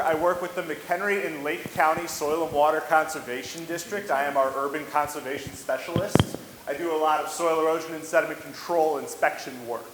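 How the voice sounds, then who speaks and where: Loud-voiced man presenting in a hall. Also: fairly high pitch, a little hiss or hum. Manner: loud, easygoing, joking. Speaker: conservation specialist giving a presentation. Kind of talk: public presentation. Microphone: handheld microphone.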